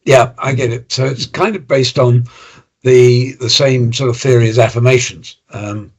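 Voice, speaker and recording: A man's voice: mid-range voice, steady tone, normal speed, slightly rough. A man hosting a podcast interview, friendly and thoughtful, summarizing what his guest says. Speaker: podcast host.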